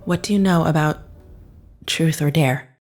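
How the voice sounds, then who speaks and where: A woman's voice: deep voice, a little slow, a little mellow, faint music behind. An actress playing a secretive, urgent woman conspirator in an audio drama, grave and commanding.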